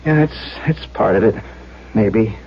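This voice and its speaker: An actor playing an anxious, desperate young engineer in a 1970s radio drama, halting and pleading as he speaks. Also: fairly low pitch, lively intonation, creaky. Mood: agitated. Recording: dull, noisy old radio recording.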